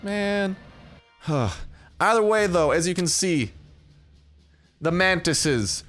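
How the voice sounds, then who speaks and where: A young man giving casual, excitable commentary over his own gameplay, jumping into high yelps when the battle turns. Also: takes it slowly, soft background music. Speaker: gaming YouTuber.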